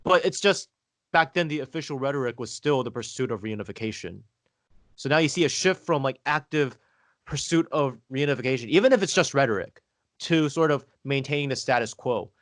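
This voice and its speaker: A man leads a podcast conversation, laying out history step by step in a steady, explaining voice. He speaks close to the mic in a dry room with no echo, and his pitch sits in an ordinary middle range. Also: fairly quick. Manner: explanatory, steady, asks leading questions. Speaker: podcast host.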